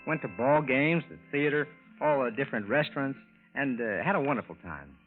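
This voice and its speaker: A man's voice: mid-range voice, animated, brisk, lightly acted. A man acting in a 1940s radio drama as an ordinary good-natured husband, telling his strange tale in a folksy, hesitant, conversational way. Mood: folksy recounting. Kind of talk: 1940s radio acting, first-person narration. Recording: tinny vintage radio broadcast with music.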